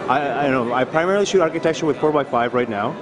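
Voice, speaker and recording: A man's voice: mid-range voice, quick pace, music in the background. A man interviewing casually at a trade fair booth, joking and hesitant with fillers. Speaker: interviewer, photographer. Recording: crowd chatter from a trade fair floor.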